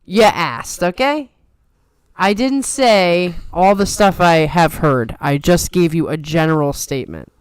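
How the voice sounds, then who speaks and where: A woman with a softened New York accent, co-hosting a casual chat in a trained, controlled radio manner. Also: fairly low pitch, unhurried, smooth, dry recording. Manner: chatty, playful, trained radio delivery. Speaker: podcast co-host.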